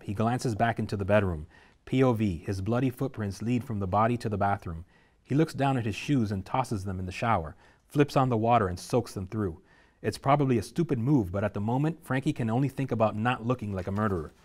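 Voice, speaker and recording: A man reading a screenplay's stage directions aloud at a table reading, steady and vivid. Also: lowish voice, a little fast.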